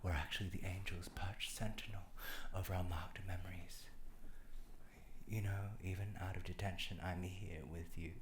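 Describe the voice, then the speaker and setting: Low-voiced man performing on stage. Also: fairly even intonation, moderate pace, background noise. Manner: poetic, theatrical delivery. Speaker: performance artist. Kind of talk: live stage performance monologue. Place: theatre stage before an audience.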